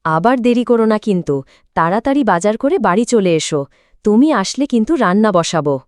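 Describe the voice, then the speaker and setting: A woman's voice: medium pitch, expressive, a little fast, darkish tone, clean tone, no echo, studio quality, close to an everyday voice. A woman playing a practical, nagging middle-aged village wife and mother in a cartoon fairytale. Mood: businesslike. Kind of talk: Bengali cartoon fairytale dialogue.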